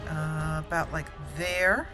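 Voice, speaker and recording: A woman's voice: low voice, fairly even intonation, takes it slowly, music in the background. Chatty woman narrating her game. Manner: fretful, chatty, talking through each move. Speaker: let's play host. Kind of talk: gameplay commentary.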